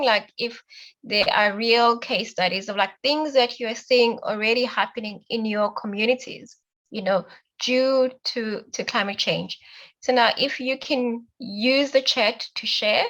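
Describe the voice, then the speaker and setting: A woman's voice: fairly high pitch, a bit bright. A woman casually moderating an online group discussion, speaking conversationally to participants. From South Africa. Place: online call, off video during a power cut.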